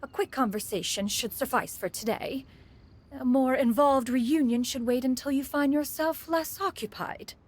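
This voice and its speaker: A woman's voice: high-pitched, normal speed, slight background noise, lightly acted. A woman voicing a proud ancient crane spirit. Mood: stately. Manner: archaic, refers to herself as "one", haughty. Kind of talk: video game voice acting.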